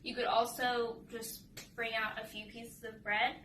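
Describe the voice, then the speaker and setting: High, bright woman reading aloud. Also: little pitch movement, a little slow. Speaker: storybook reader. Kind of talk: children's read-aloud narration and casual chat. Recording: roomy, distant mic with faint noise.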